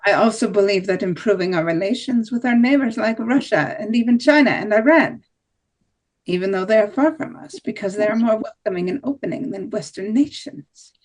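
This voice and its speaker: A woman's voice: mid-range voice, animated, brisk, natural voice, rough recording. A woman playing a defiant nationalist candidate. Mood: firm. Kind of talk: amateur table read of a debate scene.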